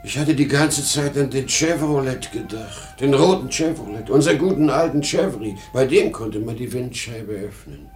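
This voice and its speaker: A man's voice: mid-range voice, lively intonation, moderate pace, hardly any pauses, darkish tone, soft background music, close to an everyday voice. An actor playing a weary, rambling old traveling salesman in a 1950s German radio drama, swinging between outbursts and murmurs. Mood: puzzled. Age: older.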